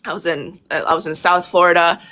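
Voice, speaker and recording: Young woman guest, Brazilian-born, bright lowish voice, gushing and rambling. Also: fairly even intonation, fairly quick. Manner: chatty, effusive, many fillers and restarts. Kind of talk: casual interview chat.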